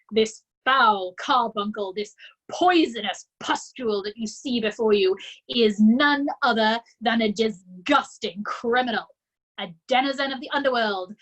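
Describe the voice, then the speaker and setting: Woman doing a big bossy headmistress, barking, yelling, loud and high, a bit breathy. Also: a little slow, poor audio. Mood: furious. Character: tyrannical bullying female headmistress, booming.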